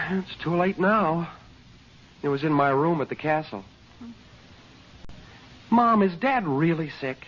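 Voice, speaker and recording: An actor playing an earnest, sensitive young son in an old-time radio drama. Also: male voice, on the high side, animated, slow, pauses often, a bit bright, full character voice. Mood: wistful. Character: earnest, sensitive young son worried about his father. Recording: muffled old radio recording, faint noise.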